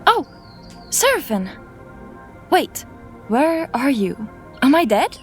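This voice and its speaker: A woman voicing a young woman mage in an audio drama, nervous but quick with dry, self-mocking humor. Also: high voice, big pitch swings, soft background music, heavily acted. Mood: startled.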